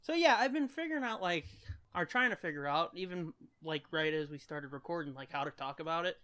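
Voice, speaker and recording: A man's voice: fairly high pitch, lively intonation, brisk, sharp tone, clean tone. A man co-hosting a podcast in casual comedic chat, profane, irreverent and joking. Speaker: podcast co-host.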